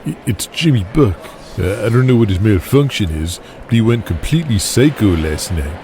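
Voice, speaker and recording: A man's voice: on the low side, expressive, normal speed, mellow, slight background noise. A man voicing a rattled but laid-back pro wrestler in an audio drama, speaking with slangy emphasis. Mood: alarmed.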